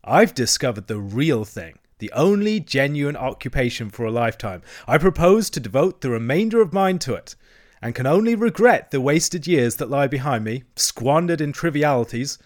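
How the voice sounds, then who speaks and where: A man voicing a boastful, excitable male toad in a storybook, with a pompous, theatrical manner. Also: mid-range voice, moderate pace, close to an everyday voice. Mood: disdainful, grand. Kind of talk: storybook character acting.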